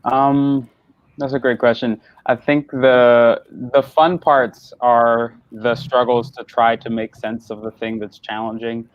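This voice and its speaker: A man, a stage actor, giving a thoughtful interview answer in a measured way, searching for his words. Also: medium pitch, little pitch movement, dry recording, dull audio.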